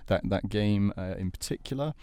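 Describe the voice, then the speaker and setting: Lowish-voiced male host, dry close sound. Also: normal speed, mellow, no echo. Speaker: host of podcast debate. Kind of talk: conversational presenting.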